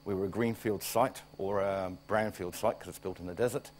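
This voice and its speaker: Middle-aged New Zealand man, low voice, slightly stuffy with a cold, wry conference speaker over a faint hum. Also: steady tone, fairly quick. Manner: wry, plain-spoken, restarts phrases. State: coming down with a cold. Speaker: plant director. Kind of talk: conference presentation.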